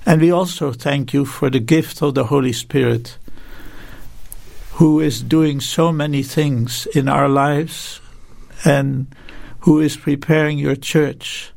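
A man from the Netherlands, a theology professor, speaking carefully and deliberately as an interview guest. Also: on the high side, steady tone, vocal fry, darkish tone, no room sound. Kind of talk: interview and prayer.

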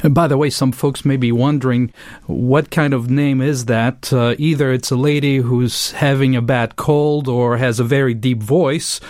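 A man from French-speaking Switzerland with a Swiss French accent, co-hosting a radio show in a friendly, explanatory way with frequent pauses. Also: medium pitch, fairly even intonation, a little mellow, dry recording, clean studio sound. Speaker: radio co-host.

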